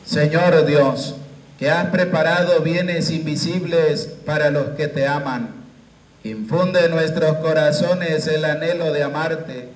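Man, priest, 30s, leading prayers, slow deliberate cadence, handheld mic, echoey small chapel. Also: fairly high pitch, fairly even intonation. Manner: slow, measured liturgical cadence. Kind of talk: liturgical prayer and Mass leading. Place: small chapel with curtained walls. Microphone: handheld microphone.

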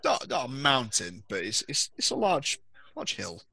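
A man's voice: medium pitch, huge pitch swings, normal speed, vocal fry, bright, forceful tone, husky, no echo. A man acting as game master on a video call, casually describing scenes and giving rulings.

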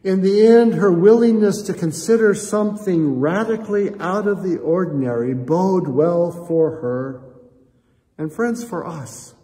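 Older male pastor, high and unhurried. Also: warm tone. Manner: reflective, addresses listeners as friends, gestures with both hands. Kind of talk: sermon. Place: church sanctuary, indoors. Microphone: camera at chest height, seated facing it. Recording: long church reverb, faint room noise.

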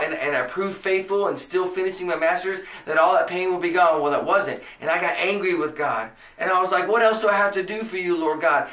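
Earnest American pastor, echoey room. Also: male voice, sits high, a bit bright, far from the mic. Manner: earnest, emphatic preaching with rising bursts. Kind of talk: podcast sermon. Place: small indoor room before a church banner.

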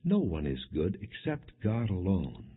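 Deep husky man reading scripture, muffled audio. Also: huge pitch swings, measured pace. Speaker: audiobook narrator. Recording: muffled, phone-like band-limited audio.

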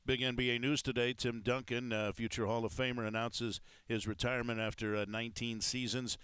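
Middle-aged male sports host, resonant and lowish, dry close studio sound, measured with filler pauses. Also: flat delivery, fairly bright tone, clean tone, dry recording. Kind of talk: studio interview. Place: TV studio set. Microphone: headset mic.

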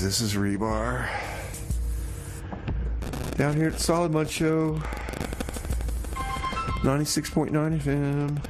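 A man playing a glum, lonely radio DJ in a comedy skit, halting and plaintive as he talks. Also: mid-range voice, natural voice, low-quality recording. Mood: flustered. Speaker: radio show host.

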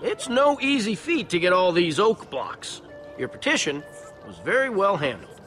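Bright, high-voiced proud guard captain. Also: male voice, sing-song, moderate pace, soft background music. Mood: kindly dismissive. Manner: formal, proclaiming. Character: proud, earnest guard captain, devoted to his ruler. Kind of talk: animated game voice acting.

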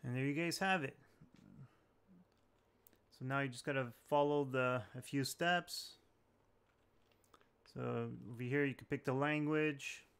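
A man's voice: mid-range voice, measured pace, long pauses, fairly bright tone, clear, resonant tone. A man hosting a tech tutorial, talking viewers through steps in an informal, plain-spoken way. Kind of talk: casual step-by-step tutorial narration.

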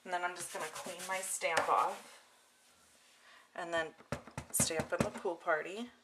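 A woman with a fairly low voice hosts a hands-on craft tutorial. She talks casually and informally, step by step as she works. The recording has clear noise behind her, with clicks from handled tools. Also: steady tone, unhurried. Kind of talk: casual hands-on demonstration narration. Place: indoor craft desk.

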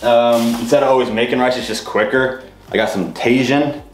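A young man's mid-range voice rambles casually through a grocery haul, stopping often for fillers. He is recorded on a camera mic in a bare home kitchen. The room echoes, and steady background noise sits under everything he says. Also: medium pitch, normal speed. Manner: loose and rambling, many fillers like "uh" and "like". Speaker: fitness vlogger.

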